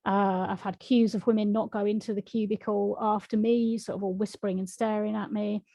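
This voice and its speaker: Frank woman, dark dry voice. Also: mid-range voice, flat delivery, fairly quick, vocal fry, no room sound. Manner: reflective, frank, laughs often. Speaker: author and guest. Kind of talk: conversational interview answers.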